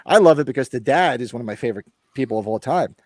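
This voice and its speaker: American man, rapid rambling delivery, blunt and sweary, recovering voice, dry close sound, casual podcast host. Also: mid-range voice, lively intonation, dry recording. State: recovering from a lost voice. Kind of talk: casual chat about horror movies.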